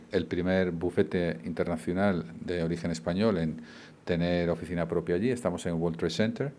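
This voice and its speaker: A man's voice: low-pitched, darkish tone. A middle-aged man giving a rambling speech at an event, with many fillers and restarts. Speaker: law firm partner giving a speech.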